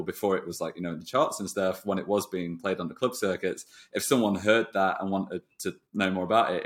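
A man with a fairly low voice hosts a casual podcast. He talks quickly and at length, in a discursive, conversational way, building up slowly to each point through asides. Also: a bit bright. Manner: wordy, discursive, long run-up to questions. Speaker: podcast host. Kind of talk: casual podcast interview chat.

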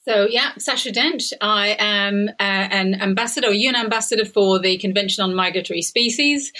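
An Australian woman speaks as a guest on a casual podcast. She talks earnestly, sometimes stopping to restart a thought, with a voice of middling pitch. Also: fairly bright tone. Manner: earnest, slightly halting with restarts. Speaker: guest, adventurer.

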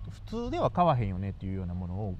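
Man, Kansai accent, lively swooping intonation, mellow tone, casual comic storytelling, acted-out asides. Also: low voice, racing. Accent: Kansai Japanese. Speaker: radio show talker. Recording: dull lo-fi with faint noise.

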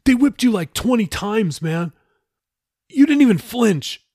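A man's voice: high-pitched, lively intonation, unhurried, warm tone, no room sound, studio quality, voice pushed up, exaggerated voice. A man voicing a desperate young male captive sailor in an audiobook, pleading and agitated in slangy speech. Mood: angry, desperate.

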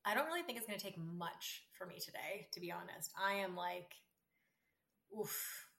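A woman in her thirties with a raspy voice, co-hosting a podcast in relaxed, wry banter. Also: on the low side, moderate pace. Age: 30s. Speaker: podcast co-host. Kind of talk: casual chat.

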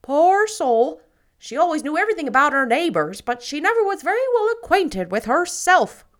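A woman reads as a loud, opinionated older village gossip in a storybook, her voice pitched well up and ringing with solemn certainty. She hammers home every judgment about the neighbors with lively, emphatic swoops. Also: normal speed.

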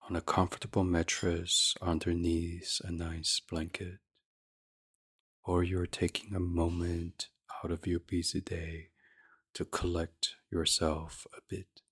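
Very slow, deep, breathy man guiding meditation. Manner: soft, gentle, breathy near-whisper with long pauses. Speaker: meditation podcast host. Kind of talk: guided sleep meditation, ASMR.

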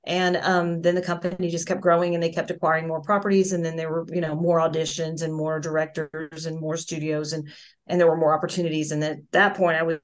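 Low-voiced chatty woman guest. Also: little pitch movement, fast. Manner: chatty, rambling storyteller with many restarts. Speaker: voice actress and singer, guest. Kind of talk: casual interview chat. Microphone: video call.

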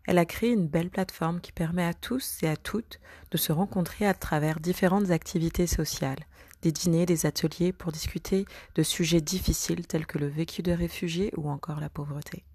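Husky woman hosting an interview podcast. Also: fairly low pitch, fairly even intonation, a little fast. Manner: gentle questioning with hesitations. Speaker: podcast host. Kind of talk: scripted intro, then interview.

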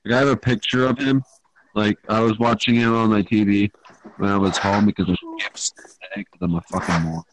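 A man's voice: lowish voice, steady tone, brisk, a little hiss or hum, poor audio. A teenage boy with an Irish accent hosting a casual podcast chat on a home mic, swearing and teasing bluntly. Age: teens. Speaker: podcast host. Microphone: home microphone.

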